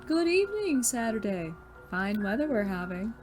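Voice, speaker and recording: A woman's voice: fairly high pitch, big pitch swings, normal speed, a little mellow. A woman playing a smug, bitter male chemistry teacher turned supervillain in a scripted audio drama, with a mocking, condescending, theatrical delivery. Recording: music behind the voice.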